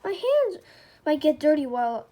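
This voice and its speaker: A woman's voice: sits high, sing-song, normal speed, dark tone. A woman voicing a mischievous, whiny schoolboy in a storybook, pleading and making excuses. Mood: evasive.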